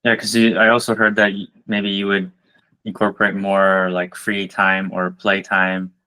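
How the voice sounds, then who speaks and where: A man's voice: low voice, completely flat delivery, normal speed, pushed and bright. A man hosting an interview, asking open questions and thinking aloud as he goes. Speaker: podcast host.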